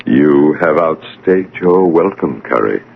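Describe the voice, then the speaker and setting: Muffled, narrowband radio sound frames a knowing otherworldly guide. His voice is extremely deep and gravelly, with solemn, measured, portentous phrasing. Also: animated, full character voice. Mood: stern, composed. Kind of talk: old-time radio drama acting. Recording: band-limited radio drama recording.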